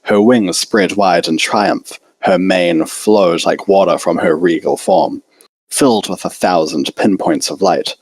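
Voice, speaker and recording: A man's voice: low-pitched, expressive, unhurried, creaky, a little mellow, husky, dry recording. A man reading fan fiction aloud as an amateur story narrator.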